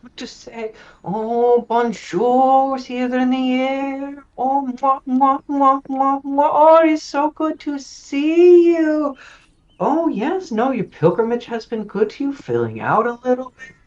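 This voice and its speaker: A man putting on a shrill gushing lady cleric. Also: slow pace, a little mellow, lo-fi sound. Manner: theatrical, air kisses, fake French flair. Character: gushing flamboyant short female cleric.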